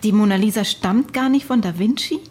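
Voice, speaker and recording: An actress playing a sceptical questioner in a conversational radio drama, matter-of-fact and probing. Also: female voice, mid-range voice, fairly quick, a little mellow, slightly rough, natural voice. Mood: sceptical, curious. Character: probing, sceptical female questioner hearing an incredible account.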